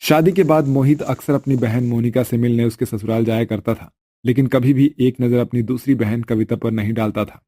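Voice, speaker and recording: A man narrates a scripted family drama as a storyteller. His voice is soft-edged and mellow, at a middling pitch, recorded very dry. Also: steady tone, fairly quick, no room sound.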